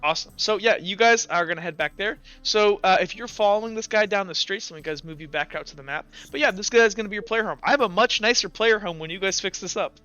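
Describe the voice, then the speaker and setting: A man's voice: sits high, quick pace, hardly any pauses, sharp tone, clean tone, no echo, highs cut off. A man speaking as game master, casually narrating a tabletop roleplaying game.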